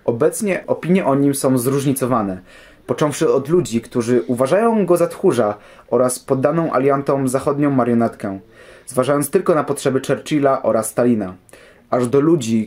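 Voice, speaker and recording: A man's voice: mid-range voice, moderate pace, a little mellow. A young man giving scripted history commentary as a guest on an audio programme.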